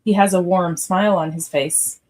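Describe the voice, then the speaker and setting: Woman narrator with a lowish voice. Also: steady tone, moderate pace, a little mellow. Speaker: narrator reading stage directions. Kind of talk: scripted narration for live radio theatre read.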